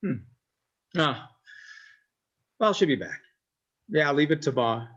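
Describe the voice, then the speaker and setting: A man's voice: a little high, animated, takes it slowly, a bit bright, lo-fi sound, exaggerated voice. An actor playing a mild, unsuspecting husband, a mama's boy, in an old-time radio drama. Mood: puzzled, mild.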